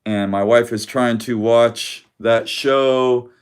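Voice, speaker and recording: Loud, rambling man on a casual live stream. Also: medium pitch, steady tone, takes it slowly, smooth. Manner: loud voice that carries, laughs often, rambling with restarts. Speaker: card collector hosting a live stream. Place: home room with weak Wi-Fi.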